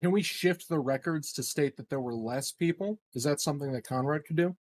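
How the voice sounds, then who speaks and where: A man's voice: fairly high pitch, a little fast. A man playing a tabletop roleplaying game, asking questions and making suggestions in casual conversation. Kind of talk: casual tabletop planning chat.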